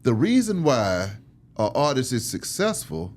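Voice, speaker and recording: A man hosting a casual conversational interview, animated and slangy, chiming in with encouragement. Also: mid-range voice, measured pace. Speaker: interviewer, podcast host.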